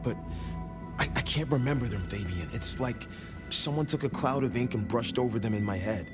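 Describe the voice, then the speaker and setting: An actor playing a confused, shaken young man giving a witness account in a video game, halting and unsure. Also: medium pitch, over loud music, close to an everyday voice. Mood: confused, uneasy. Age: young adult.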